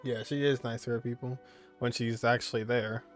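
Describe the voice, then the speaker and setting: A man's voice: medium pitch, little pitch movement, moderate pace. A man streaming a game, giving casual, offhand commentary and reading on-screen text. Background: game music.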